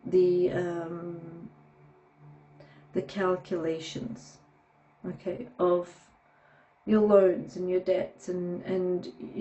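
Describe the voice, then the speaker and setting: A woman giving a tarot reading, hoarse from a sore throat, talking haltingly with many fillers and frequent "okay"s. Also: fairly low pitch, dark tone, lo-fi sound. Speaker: tarot reader.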